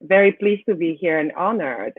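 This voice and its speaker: Low-voiced woman on a thin, narrow line. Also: expressive, moderate pace, breathy edge, no room sound. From Cameroon. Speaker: interview guest, financial services professional. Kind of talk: conversational interview answers. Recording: narrow, thin call-like sound.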